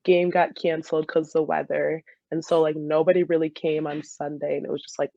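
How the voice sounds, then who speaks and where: A woman's voice: low-pitched, brisk, creaky, dry recording. Young American woman, slightly muffled audio. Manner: giggly, informal, animated retelling with quoted asides. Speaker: interview guest, former college soccer player. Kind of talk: casual conversational interview.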